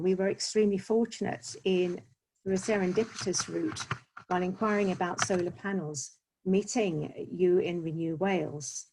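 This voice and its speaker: A woman's voice: fairly low pitch, darkish tone, breathy edge, low-quality recording. Southern English woman giving a church talk. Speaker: parish church speaker. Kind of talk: presentation talk. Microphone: video call.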